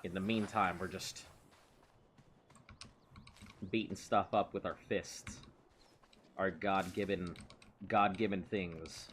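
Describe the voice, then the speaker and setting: A man's voice: sits low, fairly even intonation, slow pace, long pauses, a bit bright, slight background noise. A man giving casual, playful commentary while playing a video game, rambling and chatting with someone in the room beside him. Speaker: let's play host.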